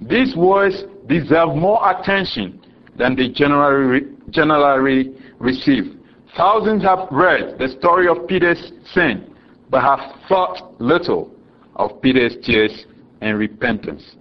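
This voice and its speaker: A Ghanaian man delivers a sermon at an unhurried, measured pace, his voice sitting a little high for a man. He chuckles often between points and sinks lower for the solemn passages. The recording is dull and lo-fi. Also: expressive. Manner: slow, deliberate preaching with frequent chuckles, dropping low for solemn lines. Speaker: preacher.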